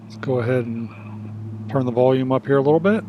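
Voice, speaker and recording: A man's voice: medium pitch, moderate pace, dull audio, slight background noise. A man hosting a casual hands-on demonstration, talking in a plainspoken, folksy way. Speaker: video host demonstrating radio gear.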